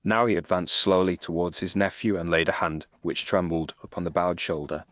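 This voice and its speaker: A man serves as narrator of a period mystery audio story. His voice is on the low side and recorded dry. The delivery is formal and measured, suited to literary prose. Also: fairly even intonation, fairly bright tone, dry recording.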